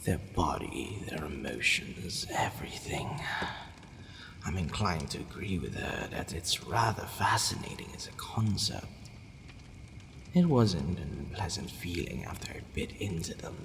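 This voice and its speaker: A man acting a haughty but softening vampire lord in an ASMR audio roleplay, brooding, formal and given to hesitant sighing. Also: low voice, gravelly, full character voice. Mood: pensive. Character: possessive male vampire lord, haughty yet secretly softening. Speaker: roleplay voice actor. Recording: long reverb, faint background noise.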